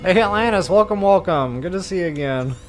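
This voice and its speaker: A man's voice: high voice, lively intonation, brisk. A man hosting a video game walkthrough, giving casual commentary as he plays. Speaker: gaming walkthrough host. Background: game music.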